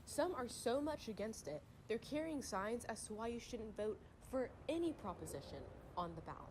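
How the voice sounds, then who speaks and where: Woman student reporter, animated scripted news read, punchy on numbers. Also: fairly high pitch, moderate pace, a little hiss or hum.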